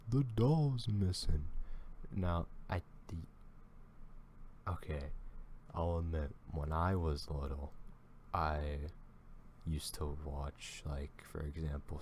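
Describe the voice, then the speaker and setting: A man with a deep voice giving casual reaction commentary on a video, rambling and restarting his thoughts. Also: warm tone, a little hiss or hum.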